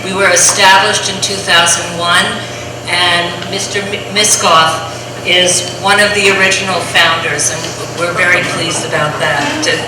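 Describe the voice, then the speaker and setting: A woman hosting a public seminar, giving measured opening remarks with frequent ums, recorded from a distance in a large school hall. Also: lowish voice, steady tone, heavy background noise. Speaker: foundation president welcoming a seminar. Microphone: distant room mic. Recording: echoey hall from far off.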